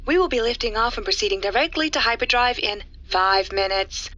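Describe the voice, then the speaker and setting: A woman's voice: a little high, animated, fairly quick, pushed and bright, muffled recording. A woman playing a polite android flight attendant, making formal, courteous passenger announcements in a sci-fi audio drama. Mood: polite, official.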